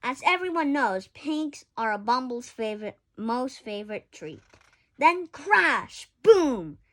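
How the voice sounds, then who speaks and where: A woman with a fairly high voice reads a children's story at a slow pace. Her delivery is expressive, and she now and then stumbles over a word and corrects herself. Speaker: story reader. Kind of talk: children's picture-book read-aloud.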